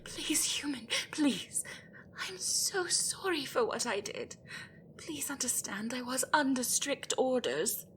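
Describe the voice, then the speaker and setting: A desperate gryphon acted by a woman speaks in a fairly high voice. She is frightened and deferential, pleading slowly and haltingly as she stumbles over words in a fantasy audio roleplay. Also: lively intonation, a bit bright, whispered, full character voice. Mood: anguished pleading. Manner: halting, pleading, breathy stammering.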